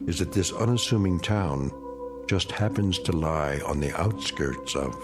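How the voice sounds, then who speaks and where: An older man narrating a suspense radio drama as a grave, ominous storyteller in a measured, portentous way. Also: sits low, expressive, music in the background. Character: grave, ominous male narrator of eerie tales.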